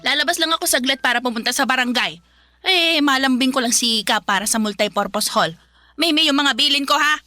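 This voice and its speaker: A woman's voice: high voice, a little fast, sharp tone, no echo, studio quality. A woman playing a pushy, nagging middle-aged mother in a Filipino radio drama, chattering and scolding with exclamations. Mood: scheming, insistent. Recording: music bed behind the voice.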